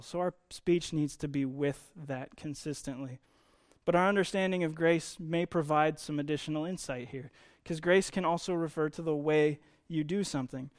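An American man giving conversational Bible teaching, informally explaining and reading scripture, slowing down to stress key phrases. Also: a little high.

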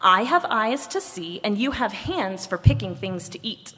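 A woman's voice: medium pitch, big pitch swings, measured pace, fairly bright tone. A woman voicing an eager, bossy male head from a folk tale, with exclamatory storybook delivery. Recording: long hall reverb.

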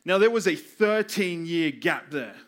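A man preaching a sermon with personal stories, earnest and emphatic, using repetition and deliberate pauses. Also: on the high side, expressive. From Australia. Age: 20s. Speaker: youth pastor preaching.

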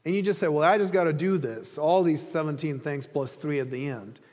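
A man's voice: fairly high pitch, brisk, darkish tone. A man from Ukraine preaching a sermon as a pastor, in a conversational storytelling manner. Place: large reverberant room, preaching to an audience. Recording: hall reverb.

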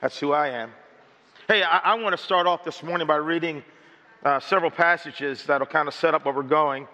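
A slightly hoarse man preaching a sermon and reading scripture, chatty and self-joking, with stammered restarts. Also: a little high, moderate pace, bright, forceful tone. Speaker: pastor. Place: church sanctuary. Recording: dull sound with long hall reverb.

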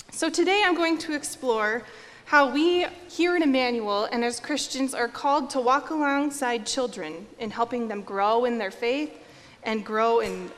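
A young woman pastor from Iowa delivers a sermon in an earnest, measured storytelling manner. Her voice sits a little high. The church around her adds a long, lingering reverb. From Iowa, United States. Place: church sanctuary.

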